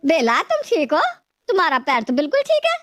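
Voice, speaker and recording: A woman playing a scolding middle-aged mother-in-law in an animated moral story, reproachful and exaggerated. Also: high-pitched, a little fast, no echo, studio quality, exaggerated voice. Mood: tired, surprised.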